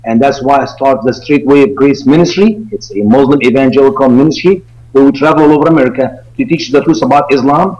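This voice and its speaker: A man's voice: medium pitch, quick pace. A man from Egypt with an Egyptian accent, a native Arabic speaker, explaining things earnestly and emphatically as an interview guest. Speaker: interview guest, evangelical ministry founder. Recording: dull lo-fi call audio.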